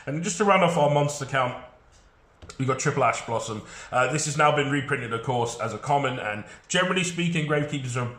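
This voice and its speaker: A man's voice: mid-range voice, animated, a little fast, fairly bright tone, reverberant. A man with a head cold, sounding congested, chatting casually through a card game deck with frequent fillers.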